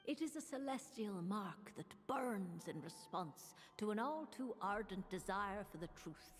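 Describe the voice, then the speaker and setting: An elderly female witch mentor narrates a letter in a video game, acted out by a woman. She is slow and theatrical, with a wistful, sly storyteller's delivery. Her voice rings in long reverb over music. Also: mid-range voice, animated, lightly acted. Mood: solemn, mysterious. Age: older.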